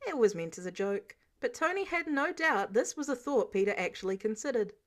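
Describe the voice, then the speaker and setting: A woman reading scripted fiction aloud as an expressive storyteller. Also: on the high side, brisk. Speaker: audiobook reader of fan fiction.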